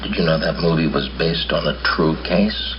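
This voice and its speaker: A grave man with an extremely deep voice, confiding a horror secret. He is hushed and serious, in a muffled, noisy film clip. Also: fairly even intonation, normal speed. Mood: grave, secretive. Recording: muffled film clip with clear background noise.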